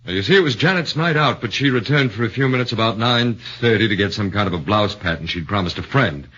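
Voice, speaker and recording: A man voicing a shrewd middle-aged defense lawyer who questions in a probing, measured way, in a 1940s radio drama on a muffled old broadcast. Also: fairly low pitch, animated, fairly bright tone, slightly rough.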